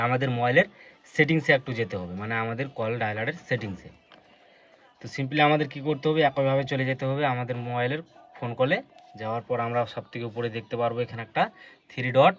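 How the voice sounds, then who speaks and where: A dull, lo-fi recording with faint noise carries a man's mid-pitched voice. He talks viewers through phone steps in an unscripted tutorial style. His explanations are repetitive and addressed straight to the listener. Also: a bit bright. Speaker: tutorial presenter.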